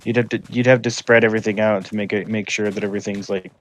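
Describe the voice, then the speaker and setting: A man talking casually with friends on a livestream, halting and full of fillers. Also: fairly low pitch, flat delivery, fairly bright tone. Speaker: livestream gamer. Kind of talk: casual chat.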